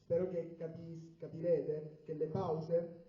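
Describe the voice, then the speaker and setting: A man's voice: on the high side, level tone, slow pace. A man interpreting a sermon phrase by phrase, with occasional hesitations and restarts. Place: church hall. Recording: roomy, distant, dull church recording.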